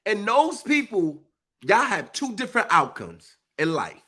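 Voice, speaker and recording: Man, congested and a bit husky, emphatic preachy monologue, lively high-ish voice. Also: measured pace. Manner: preachy, emphatic, uses informal speech. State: congested, stuffy nose. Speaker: live-stream speaker. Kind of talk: impassioned live monologue.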